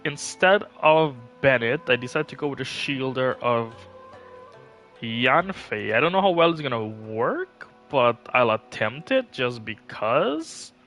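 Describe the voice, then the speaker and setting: A man's voice: mid-range voice, big pitch swings, measured pace, a bit bright, soft background music. A man hosting a gaming livestream, chatting casually and thinking aloud in a conversational way. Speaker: live streamer, channel host.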